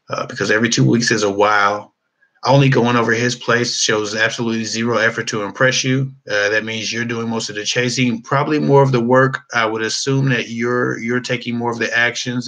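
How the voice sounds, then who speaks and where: A man's voice: on the low side, pushed and bright, poor audio. A man hosting a dating advice talk, speaking frankly in a rambling, casual way. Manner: frank, rambling, with many restarts and fillers. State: losing his voice.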